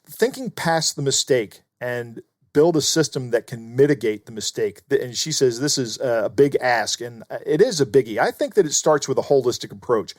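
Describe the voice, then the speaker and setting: A man's voice: medium pitch, darkish tone, dry recording. Man explaining things in dry, close sound. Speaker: podcast co-host. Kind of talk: conversational commentary.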